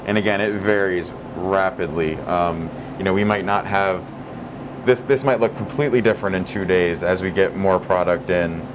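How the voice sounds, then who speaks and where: A man's voice: deep voice, normal speed, background noise. A man showing visitors around his grocery store, talking casually with lots of fillers and restarts. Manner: relaxed, many fillers and restarts. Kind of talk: casual store tour talk.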